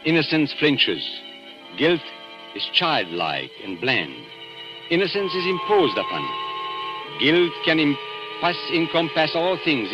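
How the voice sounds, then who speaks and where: An actor playing a suave, ironic London gentleman in a 1940s radio drama, theatrical, measured and polite. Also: medium pitch. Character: suave, ironic London gentleman narrating his own tale. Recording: muffled old 1940s radio recording, music behind.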